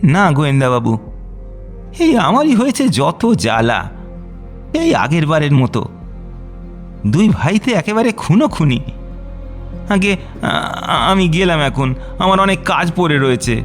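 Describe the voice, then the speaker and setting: A man's voice: on the high side, big pitch swings, measured pace, pauses often, soft background music. A man playing an aggrieved, deferential old household servant who stammers hesitantly in a radio detective drama. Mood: flustered, complaining.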